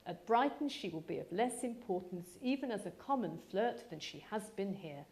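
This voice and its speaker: A woman voicing an affectionate middle-aged gentleman father in a literary reading, with a dry, teasing, measured manner. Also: medium pitch, lively intonation, natural voice. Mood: wry, placating.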